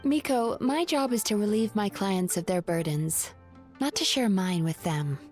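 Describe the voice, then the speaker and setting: A woman voicing a composed, gentle therapist in an anime-style game, speaking politely and with measured calm. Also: mid-range voice, soft background music, close to an everyday voice.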